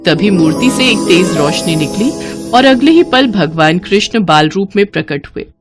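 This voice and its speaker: Woman, story narrator, medium pitch, very expressive intonation, scripted children's moral tale. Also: moderate pace, faint music behind.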